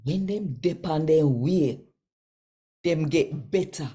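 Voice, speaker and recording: A woman with a Jamaican accent, reading scripture aloud and addressing viewers in an expressive storytelling way with deliberate pauses. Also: low-pitched.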